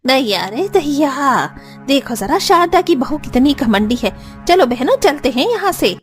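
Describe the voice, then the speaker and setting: Woman as a gossipy, high-pitched neighbour auntie. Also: sing-song, a little slow, soft background music. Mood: scornful. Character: gossipy, sharp-tongued middle-aged neighbour woman.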